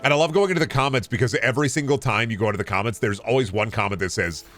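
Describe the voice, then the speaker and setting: A man chatting casually as a video game streamer, rambling in a halting way and often repeating phrases. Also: medium pitch, music in the background. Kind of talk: casual rambling livestream chat.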